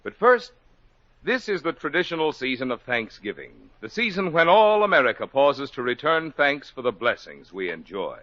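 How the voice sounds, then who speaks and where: A man's voice: a little high, pushed and bright, dry recording. A man announcing a radio program and delivering a formal sponsor message in a polished, declamatory way. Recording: dry, dull 1949 radio broadcast.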